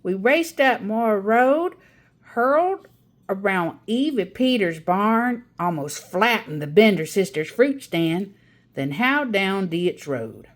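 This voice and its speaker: A woman's voice: mid-range voice, very animated, slow. A woman voicing a feisty elderly great-aunt who spins folksy tall tales in a storybook read-aloud. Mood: excited, crowing. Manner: folksy, crowing, theatrical drawl.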